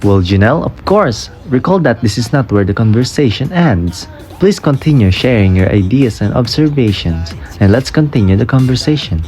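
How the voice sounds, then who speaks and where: A man's voice: medium pitch, sing-song, normal speed, darkish tone. A male radio co-host talks. He delivers scripted lines to listeners over faint music. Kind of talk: scripted radio programme sign-off.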